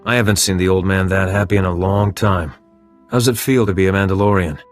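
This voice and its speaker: An actor playing a seasoned, wry bounty hunter with a sly manner in a video game cutscene. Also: male voice, sits low, fairly even intonation, brisk, soft background music, close to an everyday voice. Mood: amused, casual.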